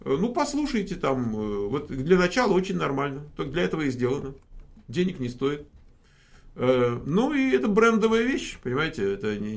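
A man's voice: medium pitch, gravelly. A man hosting a casual live question-and-answer stream, joking and rambling with many fillers. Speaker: host.

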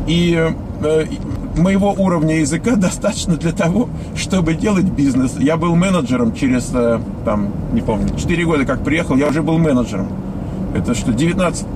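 Russian-accented guy in his 50s ranting bluntly outdoors, bit high voice, traffic noise all around. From Soviet Union. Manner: blunt, scornful, frequent hesitations. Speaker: vlogger. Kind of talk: opinionated monologue to viewers.

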